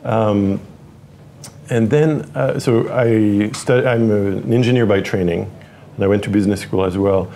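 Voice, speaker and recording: A French man from Paris in his forties, a tech executive answering interview questions with many pauses and restarts. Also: on the low side, fairly even intonation, mellow, slight background noise. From France (Paris). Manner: hesitant, many fillers and restarts. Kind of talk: interview conversation.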